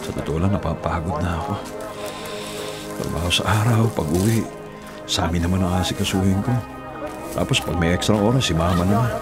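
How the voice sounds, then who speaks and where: An actor playing a weary, devoted young Filipino delivery rider and elder brother in a radio drama, with an earnest, sighing delivery. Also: male voice, fairly low pitch, lightly acted. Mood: weary, troubled. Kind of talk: Tagalog radio drama acting. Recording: music bed behind the voice.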